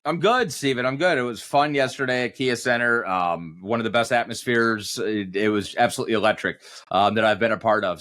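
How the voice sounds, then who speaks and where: A man's voice: mid-range voice, a bit bright. A man who works as a sports radio announcer, chatting casually as an interview guest.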